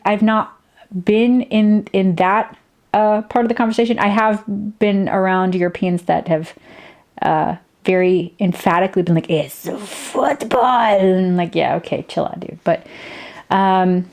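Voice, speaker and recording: A woman's voice: medium pitch, darkish tone. A woman giving casual reaction commentary, rambling with many restarts and fillers. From United States. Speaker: YouTuber reacting to a video.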